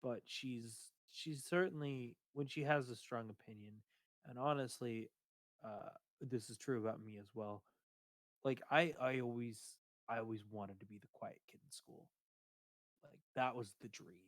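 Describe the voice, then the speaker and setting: A man with a booming voice chatting casually on a stream, rambling and hesitant, with many fillers and restarts. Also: a little slow, dry recording. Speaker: streamer discussing his game character. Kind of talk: casual chat.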